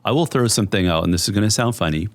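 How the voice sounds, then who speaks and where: A middle-aged man with a deep bass voice, speaking as a therapist guest in a measured, soothing, explanatory way. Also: dry recording. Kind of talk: conversational interview.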